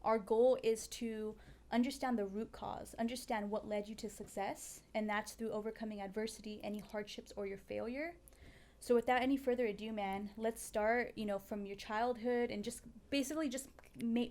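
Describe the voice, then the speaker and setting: A woman's voice: a little high, fairly even intonation, normal speed, a little mellow. A woman hosting a podcast, speaking in a conversational interview style. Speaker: podcast host.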